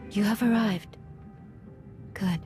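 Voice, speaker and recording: An actress playing a grave, composed leader of a mystic order in a video game, with formal, reserved phrasing. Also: female voice, medium pitch, slow pace, music in the background, close to an everyday voice. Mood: solemn, troubled. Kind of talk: scripted game dialogue.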